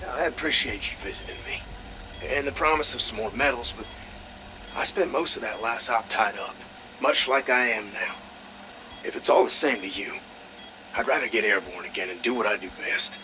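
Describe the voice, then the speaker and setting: An actor playing an easygoing, dutiful military pilot in a video game audio log, casual and plainspoken. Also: male voice, on the low side, normal speed, bright, forceful tone, a little hiss or hum, low-quality recording. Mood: respectful, resolute.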